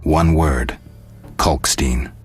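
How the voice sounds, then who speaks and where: Very deep, deadpan monster hunter. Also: male voice, drawn-out words, husky, full character voice. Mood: matter-of-fact. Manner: deadpan, laconic. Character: gruff, terse, wry male monster hunter. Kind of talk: video game voice acting. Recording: music behind.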